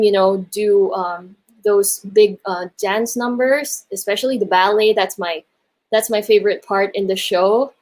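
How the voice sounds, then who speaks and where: A woman who grew up in the Philippines and Hong Kong speaks as an interview guest. Her voice sits at a medium pitch. She talks about her stage work in an appreciative, conversational way. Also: darkish tone, poor audio. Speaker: musical theatre performer, interview guest. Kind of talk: casual interview chat.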